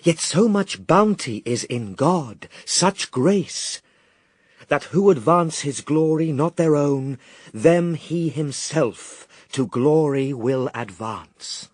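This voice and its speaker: A man's voice: fairly high pitch, lively intonation, dry recording. An actor playing the Son of God as a grave, patient and steadfast man, declaiming solemn verse in a radio drama. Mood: stern, exalted. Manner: measured, declamatory, morally firm.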